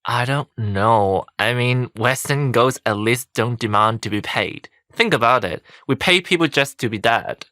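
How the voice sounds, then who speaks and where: A man plays a sceptical, slightly hesitant young man in a conversational play. His voice is medium in pitch. The recording is dry, with hardly any room sound. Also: a little slow, few pauses, sharp tone, no echo. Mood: sceptical, casual. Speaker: actor in a student play. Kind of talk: conversational stage dialogue.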